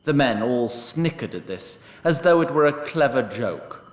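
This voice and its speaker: A man's voice: medium pitch, expressive, measured pace. Wry male narrator in a roomy space. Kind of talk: scripted fantasy story narration.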